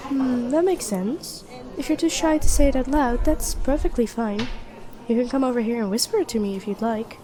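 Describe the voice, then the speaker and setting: Woman acting a high-voiced, sweet best friend in a cafe. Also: moderate pace, natural voice. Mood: playful, encouraging. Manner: gentle, earnest, encouraging, conversational with soft hesitations. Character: sweet, supportive young woman, best friend with a secret crush. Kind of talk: intimate roleplay audio acting, one-sided dialogue. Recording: cafe chatter behind the voice. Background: coffee shop crowd ambience.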